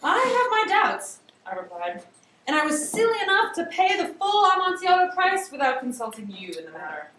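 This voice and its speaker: A woman narrating classic fiction in an amateur dramatic reading, theatrical, with drawn-out emphasis. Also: very high-pitched, huge pitch swings, a bit bright. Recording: very echoey room, distant mic, faint hiss.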